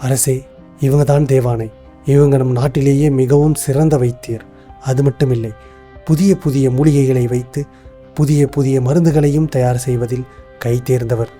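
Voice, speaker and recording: Dark-voiced, composed royal minister played by a man. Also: medium pitch, steady tone, faint music behind, natural voice. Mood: composed, accusing. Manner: formal, deliberate, explanatory. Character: shrewd, composed male royal minister exposing a plot. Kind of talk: animated moral story dialogue.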